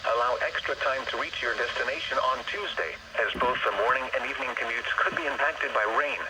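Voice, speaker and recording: An American man reads a scripted weather bulletin as a radio announcer, in a formal, measured, automated way over a weather radio broadcast. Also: mid-range voice. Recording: noisy weather radio reception.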